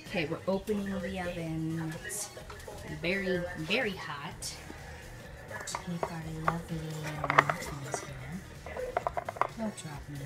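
A woman's voice: sits low, takes it slowly, long pauses. A young woman chatting casually through a home baking video, filling her talk with "like" and "you know". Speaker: cooking vlogger. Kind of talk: casual home cooking tutorial. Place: home kitchen, indoors. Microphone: phone or camera at arm's length. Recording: music playing underneath.

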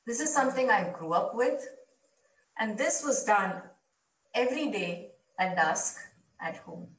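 Woman in her thirties, unhurried, deliberate phrases, reflective storytelling, very echoey room. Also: mid-range voice, animated. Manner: measured, deliberate short phrases. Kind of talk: reflective personal storytelling, performed to camera. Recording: roomy, distant microphone via video playback.

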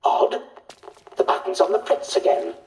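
An actor playing a prim, fussy British doctor in a video game, polite, understated and chatty. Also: male voice, normal speed, a little mellow, slight background noise, lightly acted. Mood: prim, detached. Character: prim, fussy male doctor running experiments. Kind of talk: video game character acting. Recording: roomy, distant, faint music behind.

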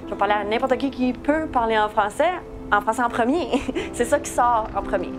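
A woman's voice: a little high, brisk, over music. A woman with a Canadian French accent, a native French speaker, talking casually in an interview. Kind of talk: documentary interview.

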